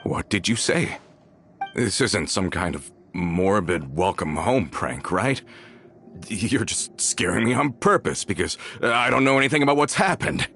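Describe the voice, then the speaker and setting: An actor playing a cheerful young man just back from prison in a video game, with a jovial, expressive delivery. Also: low-pitched, unhurried, slight background noise, full character voice. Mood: shocked.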